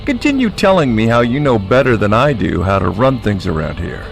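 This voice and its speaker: A man's voice: mid-range voice, very animated, brisk, vocal fry, faint music behind. An actor playing a domineering, crude and sarcastic crime-boss father in a radio drama, mocking and menacing. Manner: mocking, menacing, theatrical outbursts.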